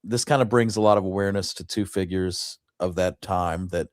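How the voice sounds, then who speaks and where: A man with a lowish voice hosts a relaxed-format chat show. He speaks informally and conversationally, recorded close with no room sound. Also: a little fast, no room sound. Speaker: show host. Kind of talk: casual chat.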